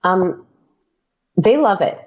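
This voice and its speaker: A woman's voice: fairly low pitch, animated, slow, highs cut off. A woman chatting casually as an interview guest. She talks freely with lots of fillers and laughs often. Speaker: podcast guest.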